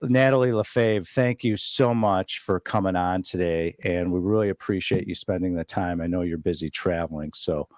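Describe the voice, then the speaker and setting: A man's voice: low voice, normal speed, few pauses, darkish tone, no room sound, clean studio sound. A man hosting a podcast interview, effusive and complimentary toward the guest. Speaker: podcast host. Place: podcast studio.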